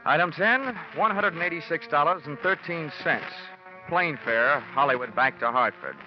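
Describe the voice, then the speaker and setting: An actor playing a cocky, hot-tempered American insurance investigator in 1940s radio detective style, brash, sardonic and hard-boiled. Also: male voice, on the high side, animated, normal speed, over music, close to an everyday voice. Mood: dry narration. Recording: muffled, noisy vintage radio recording.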